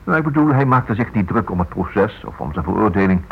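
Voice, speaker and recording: An actor playing a polite, hesitant writer in a Dutch radio play, probing in a halting way and repeating himself. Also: male voice, fairly low pitch, lively intonation, vocal fry, a little hiss or hum. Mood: awkward. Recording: muffled, narrow-band old radio recording.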